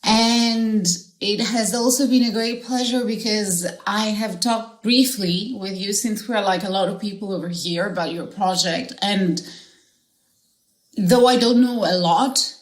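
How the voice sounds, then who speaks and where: A woman's voice: medium pitch, a little slow. Rambling woman podcast host, echoey room. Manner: rambling, many fillers like "like" and "you know". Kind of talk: casual interview.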